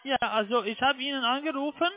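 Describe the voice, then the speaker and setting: A man speaking halting, ungrammatical German with a foreign accent, making an improvised sales call over the telephone. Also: extremely high pitch, dry recording. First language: not German. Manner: halting, ungrammatical, often ending on "ne?". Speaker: phone scam caller posing as broker. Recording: muffled narrow phone line.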